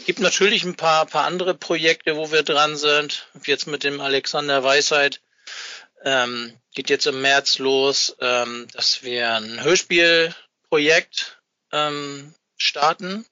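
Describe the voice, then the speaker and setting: A middle-aged man chatting casually as an interview guest, haltingly searching for words and rambling a little. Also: on the high side, steady tone, pushed and bright, no echo. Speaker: podcast guest, fan club founder. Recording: dull, dry, band-limited remote connection.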